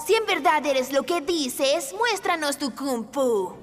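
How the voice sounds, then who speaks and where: A squeaky-high, unhurried cartoon animal child. The kid is cocky, brash and mocking, over loud music. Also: female voice, expressive. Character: cocky young animal kid at summer camp.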